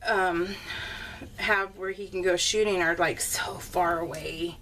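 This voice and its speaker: A woman's voice: fairly low pitch, steady tone, slow, fairly bright tone. Chatty American woman, slightly distant mic. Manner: rambling, conversational, giggly. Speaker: crafting video host. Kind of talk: casual chatty vlog voiced in real time. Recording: slightly distant mic, faint room noise.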